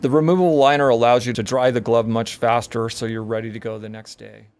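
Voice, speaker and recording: A man's voice: medium pitch, steady tone, fast, a little mellow, slight background noise. Matter-of-fact man explaining a glove design. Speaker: product designer.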